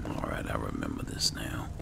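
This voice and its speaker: A man giving casual roleplay game commentary, muttering to himself in a gravelly, often half-whispered voice. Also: normal speed, loud music behind the voice. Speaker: gamer playing and narrating.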